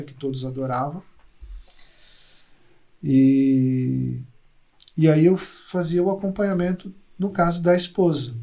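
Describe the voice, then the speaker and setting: A man with a slightly raised pitch and a gravelly, creaky edge. He speaks as a hospital psychologist in a relaxed conversational interview, often repeating words as he gathers his thoughts. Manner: thoughtful, repeats words while searching for them. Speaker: hospital psychologist, podcast guest.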